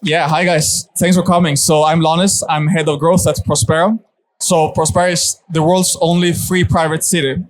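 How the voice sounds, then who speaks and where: Man, a bit high, smooth explanatory panel intro. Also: little pitch movement, normal speed, poor audio. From Sweden. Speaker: panelist, head of growth. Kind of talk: unscripted panel talk. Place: conference stage.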